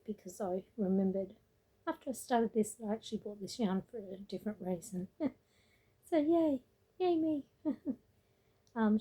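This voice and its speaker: A woman winding down after a long day, chatting to her tablet camera about her crochet. Her voice is mellow and low in brightness, with a husky, raspy edge. She speaks unhurriedly, meandering and pausing often. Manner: meandering, with frequent ums and restarts. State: tired. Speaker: crochet vlogger. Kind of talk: casual late-night rambling vlog.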